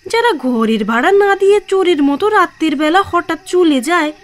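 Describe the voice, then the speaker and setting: A scornful village gossip in an audio drama. The voice is extremely high and swoops about animatedly as it complains with indignation. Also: female voice, lively intonation, fairly quick. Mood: indignant, scornful. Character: indignant gossiping village woman at the water's edge. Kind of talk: radio audio drama acting.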